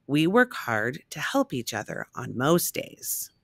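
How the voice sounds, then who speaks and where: A woman reading a rhyming children's picture book aloud in an expressive, measured storytelling manner. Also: rumbling low, gravelly, no echo.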